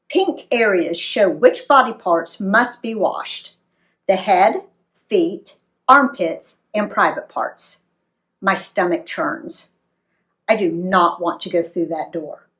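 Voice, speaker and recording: A woman reads a story aloud to young listeners at a slow, deliberate pace. Her mid-pitched voice moves up and down freely, giving the narration a dramatic, emphatic shape. Phrases are set out clearly, one by one. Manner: dramatic, animated delivery with emphatic dialogue.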